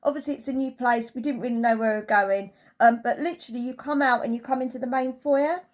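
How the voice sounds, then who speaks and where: A woman's voice: on the high side, quick pace. A woman giving a casual, chatty holiday review as a travel vlogger, telling it through anecdotes.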